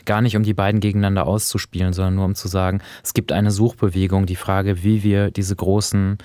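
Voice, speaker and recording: Low-voiced, thoughtful male podcast host. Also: level tone, a little fast, dark tone, no room sound. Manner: thoughtful, considered, searching phrasing. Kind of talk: reflective cultural discussion.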